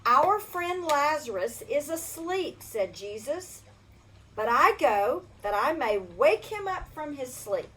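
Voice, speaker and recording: Slow, high-voiced older woman reading aloud. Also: very animated, slight background noise, low-quality recording. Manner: gentle, slow storybook reading that asks the kids questions. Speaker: children's storyteller. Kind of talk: reading a Bible story to children.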